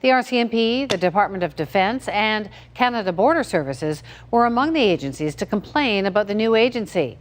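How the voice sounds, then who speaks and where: A woman anchoring a national newscast, reading the script in a crisp, measured way. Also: medium pitch, animated. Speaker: news anchor.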